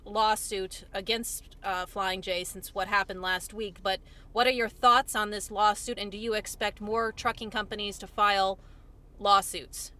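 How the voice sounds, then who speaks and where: A woman working as a television reporter, asking polite, somewhat halting questions in a phone interview. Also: medium pitch, steady tone, pushed and bright.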